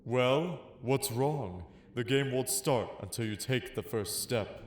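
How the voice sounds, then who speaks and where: A man playing a confident, taunting young master of dark games in a manga audio drama, with a cool, theatrical delivery. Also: mid-range voice, animated, slow pace, slight background noise. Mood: challenging. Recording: echoey room effect.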